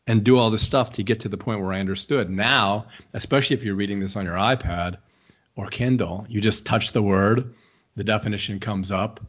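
An older man hosting a bookstore interview show, asking rambling, musing questions with many restarts. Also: on the low side. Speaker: bookstore radio host interviewing an author. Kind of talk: conversational interview. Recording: dull, lo-fi sound.

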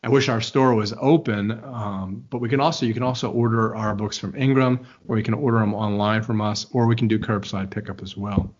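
A man's voice: on the low side, steady tone, brisk, hardly any pauses. An older man hosting a bookstore interview show, asking rambling, musing questions with many restarts. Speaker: bookstore radio host interviewing an author. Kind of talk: conversational interview. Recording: dull, lo-fi sound.

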